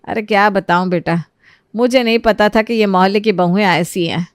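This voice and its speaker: Motherly cartoon aunty, female performer, middle-aged, gentle and put-upon, medium pitch, dry studio sound. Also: moderate pace, no room sound, close to an everyday voice. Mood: plaintive. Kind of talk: Hindi animated moral story acting.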